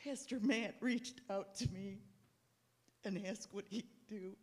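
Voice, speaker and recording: Slow, husky-voiced woman. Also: mid-range voice, darkish tone.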